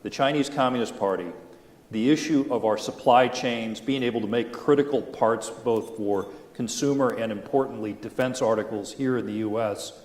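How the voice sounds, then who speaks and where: A man moderating a panel, asking measured, formal questions. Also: mid-range voice, darkish tone. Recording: echoey hall with long reverb.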